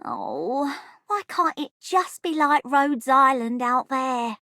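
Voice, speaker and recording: A high-voiced, wistful young thug. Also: female voice, very animated, slow pace, sharp tone, no room sound, exaggerated voice. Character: wistful young street thug from a rundown borough. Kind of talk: scripted game character lines.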